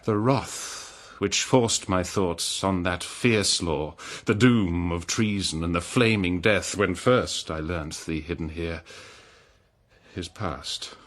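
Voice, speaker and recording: A man playing a betrayed, noble king in a classical verse drama, solemn and declamatory, with long pauses. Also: sits low, a bit bright. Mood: grave, sorrowful. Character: betrayed noble old-world king, anguished, forgiving husband.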